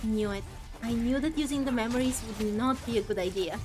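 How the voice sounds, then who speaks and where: A woman's voice: fairly high pitch, a little fast, music in the background. A woman hosting a casual game playthrough, chatting and reacting expressively as she goes. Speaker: gaming video host.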